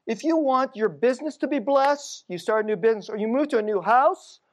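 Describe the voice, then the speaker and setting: A middle-aged Korean American woman telling stories in a sermon, casual and conversational, dropping to a hushed low voice at tense moments. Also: a little fast. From United States.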